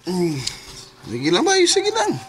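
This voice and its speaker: A man's voice: high-pitched, huge pitch swings, slow, a little hiss or hum, heavily acted. A man with a Cebuano accent playing an easygoing drinking buddy who gives advice in a radio drama. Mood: consoling.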